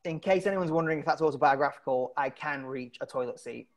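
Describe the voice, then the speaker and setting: A British man in his thirties doing a halting, self-deprecating stand-up comedy set over a video call from home, on earphone mic. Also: fairly high pitch. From Britain. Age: 30s. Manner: halting, self-deprecating, often chuckling at his own lines. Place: indoor room at home. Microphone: wired earphones on a video call.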